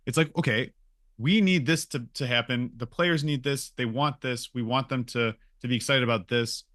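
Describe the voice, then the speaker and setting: A man's voice: mid-range voice, moderate pace, a bit bright, dry recording, clean studio sound. A man chatting casually as a podcast host, emphatic and conversational, often checking that listeners agree. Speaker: game studio podcast host.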